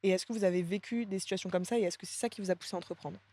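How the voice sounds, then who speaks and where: A woman's voice: mid-range voice, fairly even intonation, very fast, darkish tone. A woman hosting a podcast, asking guests long questions in a casual, friendly way. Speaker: podcast host.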